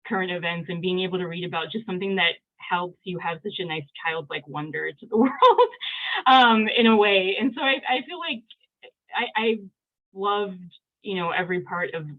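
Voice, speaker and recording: A woman's voice: fairly low pitch, moderate pace. A woman researcher talking enthusiastically about science on a video call panel, rambling with many "likes". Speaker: early career researcher guest. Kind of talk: casual panel chat. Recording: tinny phone-like call audio.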